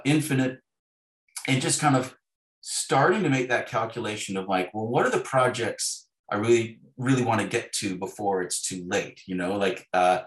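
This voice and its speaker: A man in his fifties speaking as an author being interviewed, in a thoughtful, halting way with repeated words. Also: fairly low pitch, a bit bright. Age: 50s. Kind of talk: reflective conversational interview. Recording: echoey, roomy sound.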